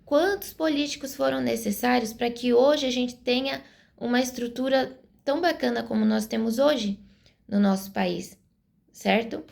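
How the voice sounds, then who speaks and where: A woman's voice: fairly high pitch, moderate pace, creaky, a little mellow. A Brazilian woman in her forties giving her opinions to camera in an earnest, explanatory way, thinking aloud as she talks. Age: 40s. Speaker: vlogger.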